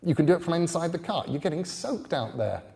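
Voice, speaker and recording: A man voicing an amiable, well-spoken English gentleman in an audiobook, politely rambling and hesitant. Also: on the high side, lively intonation, fairly quick, gravelly, pitched above the performer's own voice. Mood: brisk, helpful. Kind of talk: audiobook character dialogue.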